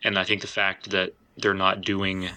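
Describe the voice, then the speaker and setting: A man's voice: low voice, monotone, normal speed, pushed and bright. A man hosting a podcast, talking casually and feeling out his phrasing as he goes. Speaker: podcast host.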